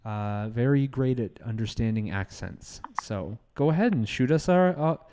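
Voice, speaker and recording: A man co-hosting a call-in show in a conversational, polite, halting way. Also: medium pitch, expressive, warm tone, slight background noise.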